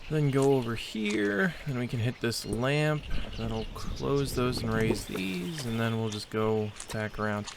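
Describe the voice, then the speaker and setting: A man's voice: medium pitch, vocal fry, noisy background. Rambling man commentating a game. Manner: rambling, thinks aloud, restarts sentences. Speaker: gameplay commentator. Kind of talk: casual let's play commentary.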